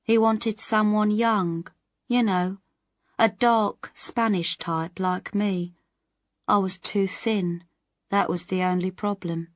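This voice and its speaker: A woman doing a sad, thin young actress who drawls slowly. Under the gloom there is a spiteful, catty edge. The voice is dry and close. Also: medium pitch, steady tone, no echo, pitched above the performer's own voice, close to an everyday voice. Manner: mournful, then quietly catty.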